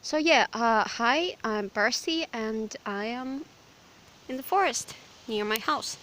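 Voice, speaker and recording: A hoarse, slightly sick young woman from Ukraine with a Ukrainian accent, chatting hesitantly and apologetically in a forest. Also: on the high side, takes it slowly, a bit bright. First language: Russian. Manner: hesitant, apologetic, lots of fillers. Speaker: vlogger. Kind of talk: casual rambling chat. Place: outdoors in a forest.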